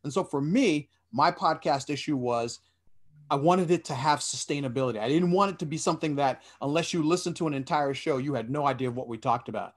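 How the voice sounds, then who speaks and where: A man in his forties on a headset at home, speaking earnestly on a video-call panel and often restarting his sentences. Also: mid-range voice. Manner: earnest, explanatory, with frequent restarts. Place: small room indoors.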